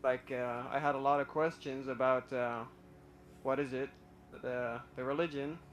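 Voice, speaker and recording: A man's voice: mid-range voice, steady tone, moderate pace, muffled recording, slight background noise. A young man from Iraq calling in to a live stream, telling his story hesitantly with many fillers and restarts. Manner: nervous, admits stage fright. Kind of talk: casual personal story.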